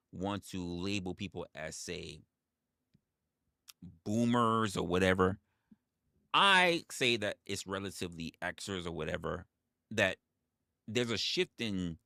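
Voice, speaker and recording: Deep-voiced man in his forties, emphatic. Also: gravelly, sharp tone, dry recording, studio quality. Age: 40s. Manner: emphatic, repeats phrases, profane. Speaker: livestream host. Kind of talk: casual livestream monologue.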